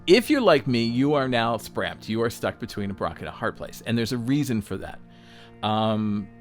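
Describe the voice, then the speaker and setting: A man's voice: medium pitch, fairly bright tone. A man in his sixties talking casually and bluntly, swearing freely, mocking himself and checking in with the listener. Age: 60s. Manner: blunt, profane, self-mocking, lots of "um" and "all right?". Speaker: podcast host, self-employed designer. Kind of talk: unscripted solo talk.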